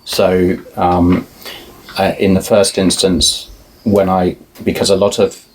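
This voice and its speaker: Middle-aged man, deep voice, husky tone, hesitant, musing interview guest. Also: level tone, slow pace, rough recording. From family roots in Ireland, moved around a lot. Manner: thoughtful, hesitant, many restarts. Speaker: podcast guest, artist. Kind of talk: relaxed conversational interview.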